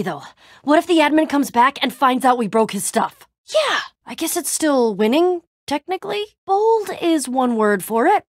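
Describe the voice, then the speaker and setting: A woman's voice: high-pitched, no room sound, studio quality. A woman playing a tough, snarky but loyal young female adventurer in a video game, with casual, slangy delivery. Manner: casual slangy delivery with sighs and sarcastic asides.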